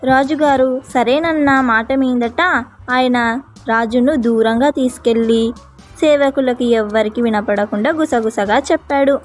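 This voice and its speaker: A woman narrates a children's folk tale from a script in a high-pitched voice. Faint music plays behind the storytelling. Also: measured pace.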